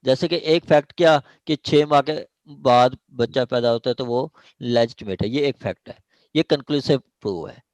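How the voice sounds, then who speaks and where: A man's voice: medium pitch, normal speed, darkish tone, no room sound. A man with a Pakistani accent lecturing an online law class, repeating points, checking understanding and mixing in English legal terms.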